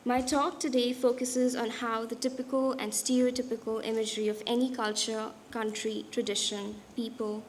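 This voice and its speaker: A young woman from India with an Indian accent, giving a prepared talk as a guest speaker in a measured, deliberate way. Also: a little high, slight background noise. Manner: measured, deliberate delivery with occasional restarts. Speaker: guest speaker, designer and artist.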